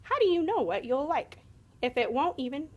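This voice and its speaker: A woman's voice: sits high, very animated, moderate pace, dull audio, voice pushed up. A woman voicing a hearty, proper father in a children's storybook, speaking somewhat formally. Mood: questioning.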